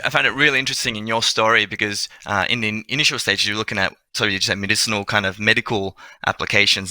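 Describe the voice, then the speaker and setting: A man's voice: fairly low pitch, bright, forceful tone, no echo. A man moderating a panel discussion in an encouraging, conversational way, putting questions to guests.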